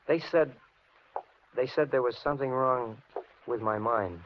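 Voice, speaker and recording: A man's voice: medium pitch, close to an everyday voice. Actor as brooding, menacing young man, old radio. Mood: brooding, sullen. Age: young adult. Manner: brooding, accusing, deliberate, taunting. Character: menacing, resentful, unstable young handyman. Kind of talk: 1950s radio suspense acting. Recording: muffled, noisy 1950s radio recording.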